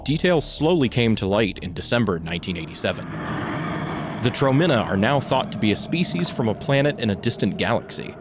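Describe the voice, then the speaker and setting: A man's voice: on the low side, big pitch swings, a little fast. A man reading scripted, documentary-style narration as the narrator of an audio piece. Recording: music bed under narration.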